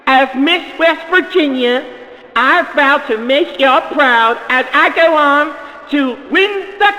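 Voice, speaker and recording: A man's voice: very high-pitched, pushed and bright, full character voice, low-quality recording. A man voicing a vain, needy young beauty queen in a theatrical comedic radio play, swinging from sweet to hysterical. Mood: proud, gracious. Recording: music behind the voice.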